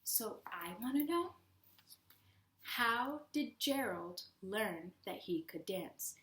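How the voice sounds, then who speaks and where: A woman reading a children's storybook aloud as a teacher would, with expressive, deliberate storytelling. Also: mid-range voice. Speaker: read-aloud host, teacher. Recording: echoey room.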